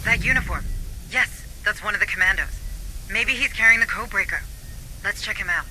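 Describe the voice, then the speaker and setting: A woman's voice: mid-range voice, a little fast, sharp tone, a little hiss or hum, low-quality recording. An actress voicing an earnest, anxious corporate official in a video game who guides a marine squad and is shaken by the deaths she finds. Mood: briefing. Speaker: station official guiding a marine squad by radio. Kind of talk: video game cutscene dialogue.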